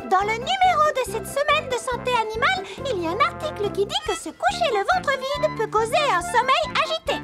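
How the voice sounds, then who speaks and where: A performer voicing a cheerful, sensible animal friend in a children's cartoon, with a gently instructive, upbeat manner. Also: very high voice, big pitch swings, brisk, hardly any pauses, loud music behind the voice. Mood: kindly, informative.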